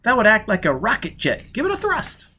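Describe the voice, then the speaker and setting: Brief: man playing a steady, clipped woman pilot's aide, pitched well up. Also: brisk, a little hiss or hum, exaggerated voice. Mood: cool. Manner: clipped, steady under pressure. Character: cool competent young woman spacer.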